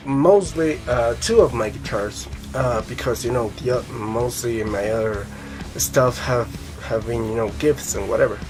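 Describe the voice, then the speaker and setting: A young man with a Colombian Spanish accent rambles to camera about guitars, swearing casually and filling his talk with "you know" and "uh". His voice sits at an ordinary pitch for a man. Faint music plays behind him. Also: measured pace, a little mellow. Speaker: guitar vlogger.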